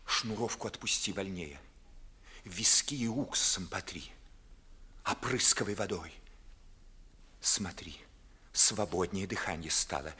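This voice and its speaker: A man's voice: low-pitched, a little slow, pauses often, bright, forceful tone, slightly rough, full character voice. An actor playing an ardent young nobleman wounded in love, speaking verse urgently in 1950s radio theatre style. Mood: urgent, concerned. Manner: urgent, dramatic.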